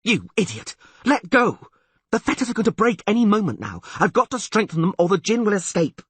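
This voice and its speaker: A man's voice: sits high, very animated, brisk, fairly bright tone, breathy edge, no room sound, pitched above the performer's own voice, exaggerated voice. A man voicing a furious, hot-tempered young sorceress who shrieks and curses. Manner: shrieking, cursing. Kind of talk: audiobook character voice.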